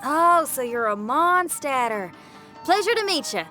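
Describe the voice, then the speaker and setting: Bright, high-pitched young rocker. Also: female voice, very animated, measured pace, soft background music, heavily acted. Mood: friendly, upbeat. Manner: spirited, folksy, plain-spoken. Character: spirited young female rock musician, friendly, upbeat, plain-spoken. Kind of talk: game cutscene acting.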